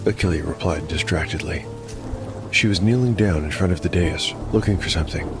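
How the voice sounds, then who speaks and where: A clear music bed sits under a man with a fairly low voice. He delivers scripted podcast narration as the host of a dark fantasy show. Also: a little fast.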